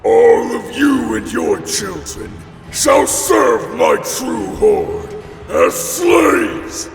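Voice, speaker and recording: A tyrannical orc warlord bellows very slowly in an extremely gravelly, strained high roar. His wrathful proclamation rings in a cavernous reverb, in fantasy audio drama style. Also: very animated, faint music behind, heavily acted. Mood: furious, menacing. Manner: booming, slow proclamation. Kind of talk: audio drama character acting.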